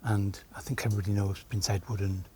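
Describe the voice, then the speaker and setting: A man's voice: fairly low pitch, flat delivery, quick pace, mellow, husky. A man answering as a quiz contestant, thinking aloud and tentatively checking his answers.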